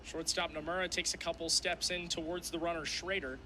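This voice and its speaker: A man's voice: on the high side, flat delivery, brisk, pushed and bright, slight background noise. A young man doing radio play-by-play of a live game in clipped, descriptive phrases. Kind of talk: live sports commentary.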